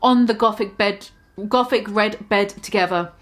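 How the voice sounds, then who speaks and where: A woman's voice: medium pitch, normal speed. A young woman giving a mock-dramatic reading of a fan story, swearing and breaking off to comment on the text. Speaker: reader.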